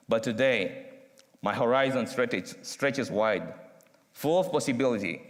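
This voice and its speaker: A young man from Kenya with a Kenyan accent, giving an earnest, measured student speech with dramatic pauses. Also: medium pitch. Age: young adult. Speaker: student speaker. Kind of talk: prepared speech read aloud. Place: university chapel. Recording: long reverb from a large chapel.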